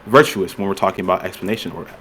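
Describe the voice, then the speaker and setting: A man's voice: deep voice, very fast, fairly bright tone, a little hiss or hum, low-quality recording. A man moderating a voice-chat debate, hesitant and full of fillers as he clarifies the arguments.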